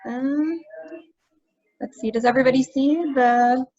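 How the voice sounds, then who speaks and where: A slightly high-pitched American woman hosting a webinar, casual and chatty, using easygoing slang. Her voice comes through a dull, lo-fi video call line. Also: steady tone, unhurried, darkish tone. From United States. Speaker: German tutor.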